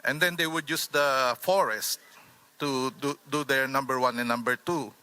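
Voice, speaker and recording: A man with a Filipino accent speaking informally as a panelist, in a halting, anecdotal way. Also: mid-range voice, fairly even intonation, sharp tone, clear, resonant tone. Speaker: author on a discussion panel.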